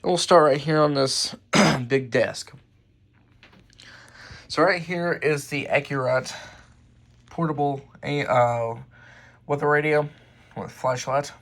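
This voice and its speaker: A man presenting his hobby collection in a casual, unscripted way, halting and often correcting himself as he goes. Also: fairly high pitch, a bit bright. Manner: halting, offhand, self-correcting. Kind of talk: casual unscripted show-and-tell.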